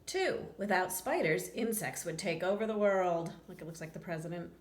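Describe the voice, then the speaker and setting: A woman's voice: lowish voice, normal speed. A woman reading a children's picture book aloud expressively, breaking off for chatty asides to young listeners. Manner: expressive, acts out lines and chats to young listeners. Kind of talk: read-aloud storytelling with casual asides. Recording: roomy echo, faint background noise.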